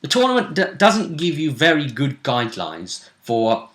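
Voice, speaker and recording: A man with a bright, forceful voice talks as the host of a casual tabletop gaming recap. His speech is informal and conversational, recorded with noticeable room echo. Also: on the high side, wildly swooping pitch, moderate pace. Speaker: host and game master of a tabletop role-play recap. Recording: roomy, echoey sound.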